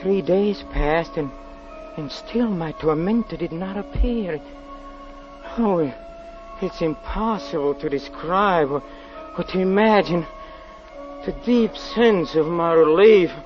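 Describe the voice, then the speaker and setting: Haunted, unhinged male killer, higher-pitched, halting delivery, old radio horror, muffled recording with loud music. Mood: feverish, gloating. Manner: halting, stammering, with nervous chuckles and repeated words. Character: guilt-haunted man, a wife's murderer, unhinged and gloating. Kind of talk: 1940s radio horror acting, first-person narration.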